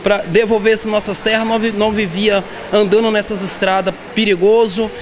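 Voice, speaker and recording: A high-voiced man answers a reporter, stopping and restarting his words as he goes. He speaks into a handheld microphone inside a shopping mall. Loud background noise runs under his voice the whole time. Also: little pitch movement. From southern Bahia, Brazil. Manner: halting, repeats and restarts words. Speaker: artisan interviewee. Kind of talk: street interview. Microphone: handheld reporter mic.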